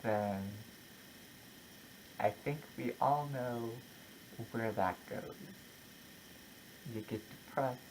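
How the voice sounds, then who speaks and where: A content creator talking casually and personally, in a halting, reflective way with short broken phrases. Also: medium pitch, darkish tone, slight background noise, poor audio. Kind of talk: casual personal vlog, opinion talk to camera.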